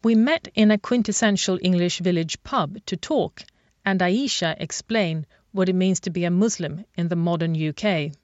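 Low-voiced woman narrating a feature. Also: normal speed, darkish tone, no echo. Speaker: radio feature presenter. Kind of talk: scripted narration. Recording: dry, slightly dull studio sound.

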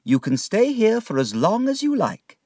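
Brief: a man doing a cold, commanding older aunt, high and loud, swooping pitch, heard from a distance. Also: wildly swooping pitch, moderate pace, dry recording, full character voice. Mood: brisk. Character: stern, decisive older woman, a boy's aunt. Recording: dry, distant from the mic.

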